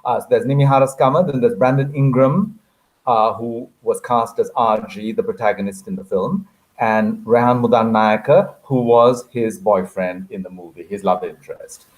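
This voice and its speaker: A man's voice: mid-range voice, normal speed, low-quality recording. Man moderating a literary conversation. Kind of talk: hosting an online author conversation.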